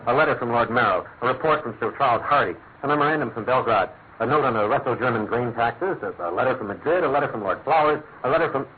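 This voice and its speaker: Actor as excitable, quick-talking English statesman. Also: mid-range voice, natural voice. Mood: irritated. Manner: proper, fussy, exclamatory. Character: excitable, worried English government minister and husband. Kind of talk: classic radio drama acting. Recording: thin phone-like radio sound, clear noise.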